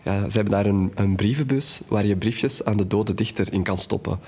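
An actor playing a gentle, hesitant young student friend, somewhat frail, in an audio drama. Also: male voice, fairly low pitch, moderate pace. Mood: subdued, wistful. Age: young adult.

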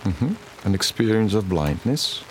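A man acting as a caring, confessional middle-aged father in an audio drama, speaking intimately and haltingly. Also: on the low side, animated, slight background noise, rough recording. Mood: tender, confessing. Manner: halting, repeats words when emotional.

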